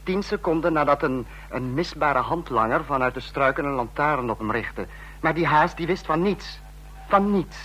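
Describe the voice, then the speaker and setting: An actor playing a sarcastic, domineering dictator in a radio drama, husky-voiced and biting as he scolds his subordinates. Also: on the high side, animated, normal speed, sharp tone, poor audio. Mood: scornful anger.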